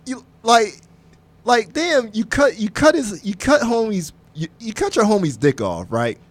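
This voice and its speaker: A man on a casual podcast with a high voice that leaps up and down as he talks. He rambles excitedly, repeating himself, and leans into crude humor. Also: measured pace. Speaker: podcast co-host.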